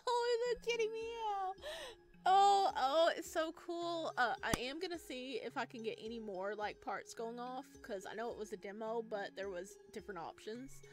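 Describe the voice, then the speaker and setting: Female gaming creator, higher-pitched, casual and excitable, reacting and reading aloud over light music. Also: normal speed. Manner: expressive, excitable. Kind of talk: casual let's play commentary and read-aloud.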